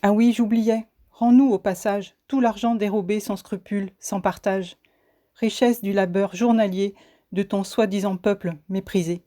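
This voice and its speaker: A woman recites spoken-word poetry in a warm, mellow voice of medium pitch. Her delivery is solemn and declamatory, with each phrase deliberately set out. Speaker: poet reciting own poem.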